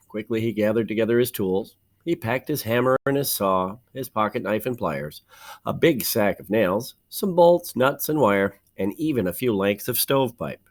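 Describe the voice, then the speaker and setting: A man reading a children's storybook aloud like a teacher, with deliberate phrasing, dramatic pauses and emphasis. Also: mid-range voice. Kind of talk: expressive children's storybook narration.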